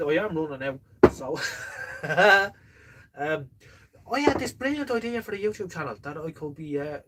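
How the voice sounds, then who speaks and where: A man's voice: a little high, fairly bright tone, a little hiss or hum, rough recording. A man hosting a casual live stream, rambling along in a jokey, self-deprecating way as he answers viewers. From born in the Netherlands, lives in Ireland.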